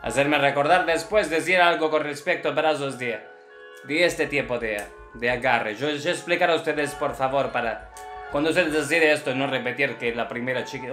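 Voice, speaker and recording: A man's voice: medium pitch, normal speed, pushed and bright, close to an everyday voice. A man playing a strict, blunt, theatrical Russian ballet teacher in a comic commentary, with a Russian accent and broken grammar. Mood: exacting, blunt. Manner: broken Spanish with infinitive verbs, chanted counting syllables. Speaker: ballet teacher reviewing dancers' videos. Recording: roomy echo with faint music behind.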